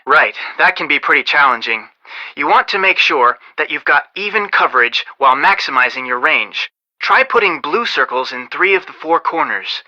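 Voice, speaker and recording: An actor playing a calm, helpful young amateur detective in a video game, giving measured, confident instructions, in slightly dull audio. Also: male voice, a little high.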